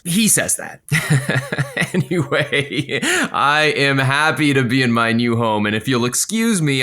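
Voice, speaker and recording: An actor playing a smug, slick veterinarian radio host, condescending and smooth-talking, in an audio comedy. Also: male voice, mid-range voice, a bit bright. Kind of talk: scripted audio comedy acting.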